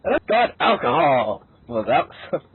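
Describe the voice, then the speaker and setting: A person reading a story aloud casually with friends, in a playful, hesitant, giggly way. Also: mid-range voice. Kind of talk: casual group reading of a fan fiction, in and out of character. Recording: narrow phone-like line with background hiss.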